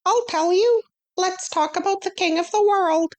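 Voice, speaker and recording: A woman voicing a tiny, pompous male mouse who acts as a brisk substitute teacher, lecturing in a crisp, bossy way. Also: extremely high pitch, pitched above the performer's own voice. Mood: curt. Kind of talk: storybook reading.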